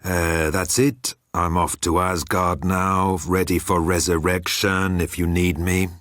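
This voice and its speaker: A big hairy god of thunder, acted by a male audiobook reader, speaking slowly and ponderously in a low voice. He rambles along like a none-too-bright giant in a comic space tale, recorded very dry. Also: flat delivery, no room sound. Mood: earnest, pleased.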